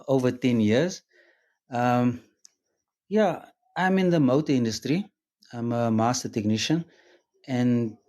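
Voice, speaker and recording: A man's voice: medium pitch, darkish tone. A South African man speaking as a business co-founder in a brief, plain-spoken way over a shared call line.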